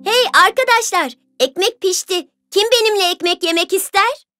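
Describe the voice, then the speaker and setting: A woman voicing a friendly but firm, hard-working little red hen in a children's cartoon, with earnest, clear delivery. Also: very high-pitched, normal speed, pushed and bright, faint music behind. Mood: hopeful, asking. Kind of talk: children's cartoon fairy-tale acting.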